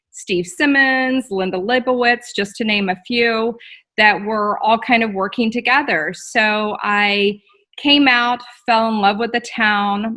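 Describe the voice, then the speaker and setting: Chatty woman in her forties, bright and forceful, interview guest on a video call. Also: medium pitch. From Texas. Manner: chatty and laughing, with filler ums. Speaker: guest, store owner. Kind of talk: casual interview.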